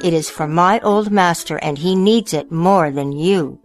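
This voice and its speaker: A woman's voice: lowish voice, unhurried, soft background music, natural voice. A woman voicing a loyal, plucky turkey in a read-aloud tale, earnest and defiant.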